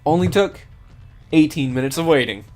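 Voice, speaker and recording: A man's voice: on the high side, lively intonation, normal speed, a little mellow, soft background music. A young man chatting casually while streaming a game, stuffy-nosed and sniffling, rambling with many fillers. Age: young adult. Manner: rambling, lots of fillers and self-corrections. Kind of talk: casual gameplay stream chat.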